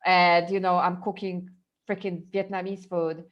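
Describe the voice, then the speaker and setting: A woman from Poland, a podcast guest telling stories in an animated way and laughing easily. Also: fairly low pitch, unhurried, lo-fi sound. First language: Polish. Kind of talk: casual storytelling chat.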